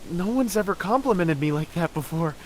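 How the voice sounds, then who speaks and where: A man playing an excitable, earnest young male inventor in a video game cutscene. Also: sits high, fairly quick, a little hiss or hum. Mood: excited, proud. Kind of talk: animated game cutscene dialogue. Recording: loud music behind the voice.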